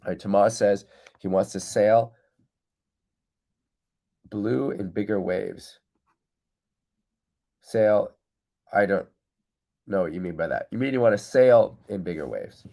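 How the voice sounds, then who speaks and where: Low gravelly man, congested. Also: slow, pauses often, dark tone. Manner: reads out viewer comments and answers them directly. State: congested, recovering from losing his voice. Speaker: windsurf coach hosting a live stream. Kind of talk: casual live-stream chat.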